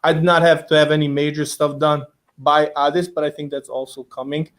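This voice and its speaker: A man's voice: on the high side, level tone, fairly quick. A man, hosting a chatty, informal interview. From Bosnia. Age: 30s. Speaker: interview host. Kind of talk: casual conversational interview.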